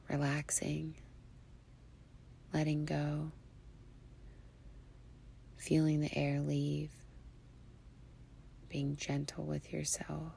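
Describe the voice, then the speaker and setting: Female meditation guide: low, very flat pitch, breathy near-whisper, soothing and unhurried, faint background noise. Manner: soothing, hushed, unhurried phrasing with long pauses.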